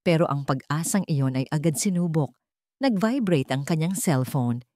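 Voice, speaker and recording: Low-pitched Filipino woman narrating a story. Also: animated, moderate pace, warm tone, dry recording, studio quality. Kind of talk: scripted dramatic story narration.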